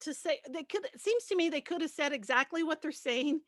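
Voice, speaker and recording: A woman's voice: sits high, lively intonation, a little fast, no echo, studio quality. A woman speaking frankly and casually as a panelist, explaining what works for her. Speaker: panelist, radio host and producer.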